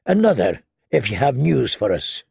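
Narrator as a solemn polite lord, calm and measured, a bit gravelly. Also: male voice, mid-range voice, dry recording, studio quality, full character voice.

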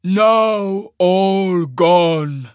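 A man voicing a massive, mournful giant who speaks in halting, broken phrases with a rumbling voice, in a fantasy audiobook. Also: clean tone, no room sound, clean studio sound. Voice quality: deep rumbling voice. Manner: slow, halting, clipped primitive phrases.

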